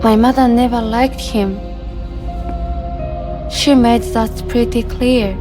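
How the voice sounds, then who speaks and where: An actress playing a wistful, earnest woman longing for a lost love in a video game cutscene, confiding and halting. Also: fairly high pitch, fairly even intonation, over music.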